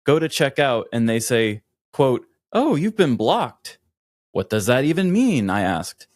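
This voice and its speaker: A man's voice: medium pitch, very animated, unhurried, darkish tone, no room sound, studio quality. A man co-hosting a comedy podcast with casual, playful, irreverent banter. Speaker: podcast co-host.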